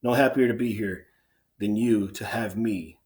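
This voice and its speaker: A man playing an anxious soldier, halting, wordy and self-excusing, in a dramatic reading of Greek tragedy. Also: on the low side, steady tone, a little mellow, lightly acted. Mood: anxious protesting.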